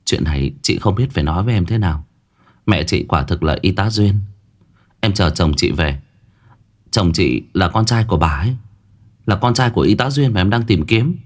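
A man voicing a gentle, sincere village wife in a story, reassuring and heartfelt. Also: sits low, animated, moderate pace. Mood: gentle, solemn. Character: gentle, sincere married village woman revealing family history.

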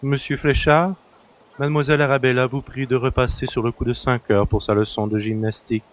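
Guy as manservant announcing stuff, formal, medium pitched voice, radio play. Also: level tone, fairly quick, close to an everyday voice. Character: formal male household servant announcing messages. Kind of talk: audio drama acting.

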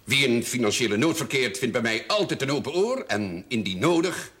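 A man's voice: medium pitch, sing-song, a little fast, a bit bright. An older man playing a pompous, sardonic, shrewd village notary and moneylender in a television drama, speaking in formal, ironic phrases. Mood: smooth, condescending. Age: older, over 50. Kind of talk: theatrical television drama dialogue.

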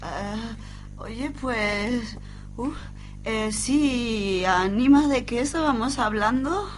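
A woman playing a dizzy, muddled character who stammers haltingly in an amateur radio soap. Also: a little high, slight background noise. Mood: dazed. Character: dizzy, muddled woman losing her train of thought.

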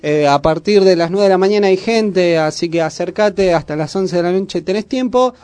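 A man's voice: high voice, normal speed, darkish tone, clear, resonant tone, no room sound. A man with a Buenos Aires accent making casual announcements as co-host of a community radio show. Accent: Argentine, Buenos Aires.

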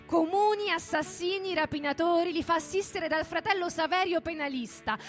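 A woman's voice: very high voice, normal speed. A woman reading a dramatic scripted narrative in a radio theatre performance. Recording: music playing behind the voice. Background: music.

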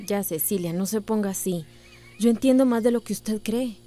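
A woman's voice: mid-range voice, moderate pace, mellow, faint music behind, close to an everyday voice. An actress playing a proud, stubborn young woman schoolteacher in a radio soap opera. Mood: hurt, defiant. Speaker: actor in a radio drama.

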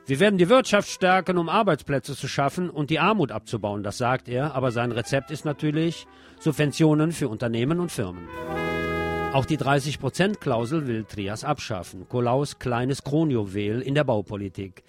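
A man's voice: mid-range voice, lively intonation, normal speed, over music. A man presenting scripted radio news commentary, with opinionated, wry asides.

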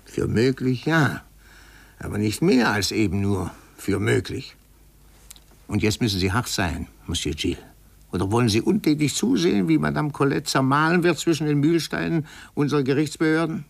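Shrewd insinuating adviser, creaky voice, wide swoops, dry close sound, 1950s German radio play. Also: male voice, medium pitch, expressive, moderate pace, dry recording. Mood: probing, urgent. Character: shrewd, insinuating man advising a young heir.

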